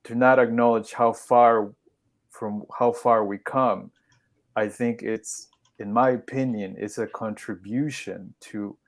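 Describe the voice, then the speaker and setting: A man from Peru speaking on a podcast, explaining things in a measured way with pauses and restarts. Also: fairly low pitch. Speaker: podcast guest. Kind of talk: conversational podcast talk.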